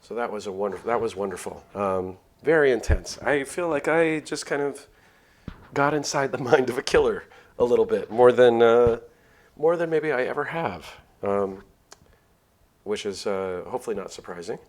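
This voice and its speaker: A man hosting a game livestream, talking casually to viewers in a halting way, thinking aloud as he goes. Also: medium pitch, expressive, slight background noise. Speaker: game streamer, host. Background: game music.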